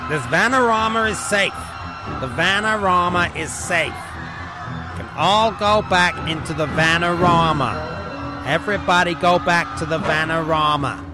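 A man playing a frantic, melodramatic character shouting drawn-out reassurances in a parody film trailer. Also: high voice, pitched above the performer's own voice. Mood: alarmed. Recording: loud music behind the voice.

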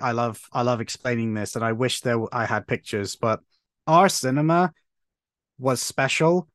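A man's voice: mid-range voice, dry recording, studio quality. A man, telling stories eagerly as a podcast guest. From Cornwall, England. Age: 30s. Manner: animated storytelling, frequent restarts. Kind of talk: casual conversation.

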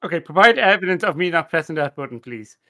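Male podcast panelist, higher-set sharp voice, excitable banterer who doubles back on his phrases. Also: fast. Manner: excitable, repeats himself. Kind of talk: casual bantering group chat.

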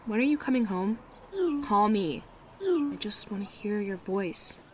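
A woman acting a slow, wistful, pleading partner. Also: medium pitch, animated, darkish tone, a little hiss or hum, natural voice. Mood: longing, pleading. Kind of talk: audio drama acting.

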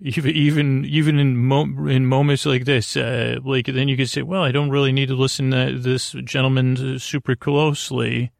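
A man with a gravelly, creaky voice hosts a sleep podcast. He rambles and stammers his way through long digressions, often restarting. The sound is close and dry, recorded in a closet. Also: medium pitch, flat delivery, normal speed, few pauses, dry recording. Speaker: podcast host.

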